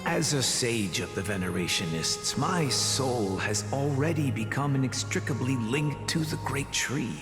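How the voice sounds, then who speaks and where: Slow, gravelly old sage, echoing. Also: male voice, mid-range voice. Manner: solemn, measured, world-weary. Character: weary, aged sage, grave and cautious mentor. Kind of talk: video game story acting. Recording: long echoing hall reverb under music.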